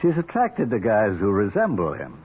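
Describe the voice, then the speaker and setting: Needling, amused uncle for vintage radio play, lowish voice, very lively inflection, mocking. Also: male voice, normal speed, breathy edge, full character voice. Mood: smug. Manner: mocking, dry, drawling taunts. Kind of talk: 1970s radio drama acting. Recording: tinny old radio broadcast with hiss.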